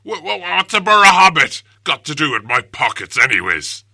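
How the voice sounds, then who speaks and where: A hulking, dim-witted storybook troll with a Cockney accent. The voice is gravelly and very forceful, blustering and quarrelsome, with wide swings in pitch. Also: male voice, sing-song, no echo, studio quality, heavily acted. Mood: startled. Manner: slow-witted, rough, blustering speech. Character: big, dim, quarrelsome, brutish male trolls.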